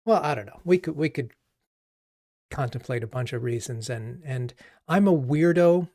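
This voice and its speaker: A man from Japan hosting a coding livestream as a coach, chatting casually and haltingly as he thinks aloud. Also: medium pitch, darkish tone, no echo, clean studio sound.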